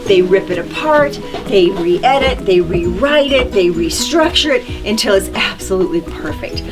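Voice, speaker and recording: A woman's voice: medium pitch, expressive, normal speed, over music. A woman giving an explanatory tour, telling it like a story with theatrical little demonstrations. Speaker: studio tour guide.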